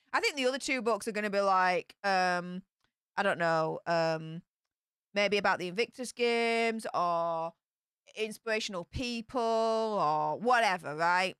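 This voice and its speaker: A woman's voice: on the low side, a bit bright, clean tone, dry recording. A woman with a Yorkshire accent hosting a casual livestream, reading viewer comments aloud and answering them in a chatty way with broad local slang. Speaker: livestream host reading chat. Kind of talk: casual live chat.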